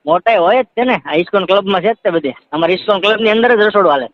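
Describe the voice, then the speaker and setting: A man with a Saurashtra Gujarati accent explains things in a loose, repetitive, conversational way. He talks rapidly in a high register. The phone recording is muffled and lo-fi. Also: dry recording. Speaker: seasonal event catering worker. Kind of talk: casual phone chat. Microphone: phone call. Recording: dull lo-fi phone call recording.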